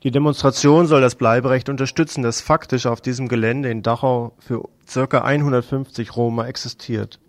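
A man's voice: mid-range voice, fairly even intonation, brisk, hardly any pauses, no room sound. A man presenting radio news, reading a scripted report.

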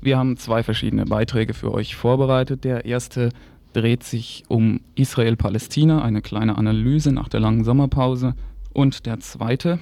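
Man, soft dark timbre, community radio news presenter, scripted commentary, studio microphone. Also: medium pitch, steady tone, moderate pace, gravelly, slight background noise. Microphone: single shared studio microphone.

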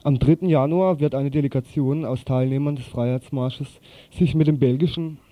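A man reading a radio news script in a halting way, sometimes stumbling over words. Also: mid-range voice, warm tone.

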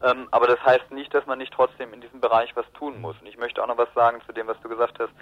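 A man's voice: medium pitch, flat delivery, quick pace, no room sound. Dull, dry-sounding man giving interview commentary. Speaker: journalist and author, interviewee.

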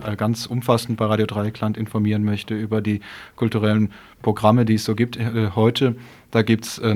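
A man with a slightly low voice and little melodic movement presents event notices on the radio. His delivery is informal and factual. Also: a little mellow. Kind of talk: spoken event announcements.

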